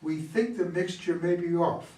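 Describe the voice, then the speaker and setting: A man's voice: a little high, moderate pace, natural voice. A man playing an earnest, by-the-book mission control officer in an amateur play reading, speaking in a formal, procedural way. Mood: exasperated, concerned. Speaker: amateur reader of a play, also reading stage directions. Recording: echoey room, distant mic, background noise.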